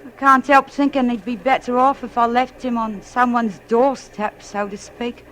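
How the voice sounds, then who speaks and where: A woman's voice: fairly high pitch, steady tone, normal speed, fairly bright tone, a little hiss or hum, close to an everyday voice, poor audio. An actress playing a weary, fussy working-class English widowed mother in an old radio drama, deferential and folksy. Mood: wistful, weary. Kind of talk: 1940s radio acting.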